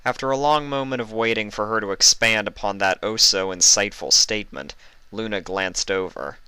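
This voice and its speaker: Male storyteller, low bright voice, expressive reading, close dry recording. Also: normal speed, smooth, no room sound. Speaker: story reader and channel host. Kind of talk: expressive scripted storybook narration with casual introduction.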